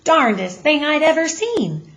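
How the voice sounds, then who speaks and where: A woman's voice: high voice, big pitch swings, unhurried, a bit bright, dull audio, pitched above the performer's own voice, full character voice. A woman voicing a proper, mild-mannered father in a storybook, speaking emphatically and correctly. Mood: proper, emphatic.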